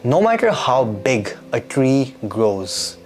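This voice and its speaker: Young man, earnest vlogger, middle pitch, faint music bed. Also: big pitch swings, slow pace. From Pakistan. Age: 20s. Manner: earnest and reflective, with rhetorical questions. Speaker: YouTube creator. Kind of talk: reflective scripted vlog monologue.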